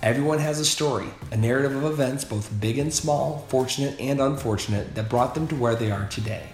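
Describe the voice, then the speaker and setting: A man's voice: medium pitch, a little fast, soft background music, low-quality recording. A man hosting a podcast, reading a scripted introduction and then chatting with a guest, with some hesitant fillers. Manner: warm friendly host, hesitant with fillers when unscripted. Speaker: podcast host.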